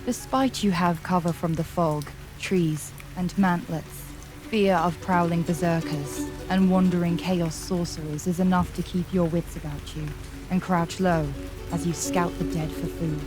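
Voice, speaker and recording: A woman narrating a dark fantasy audio drama in a dramatic, descriptive storytelling manner. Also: fairly low pitch, steady tone, unhurried, music in the background.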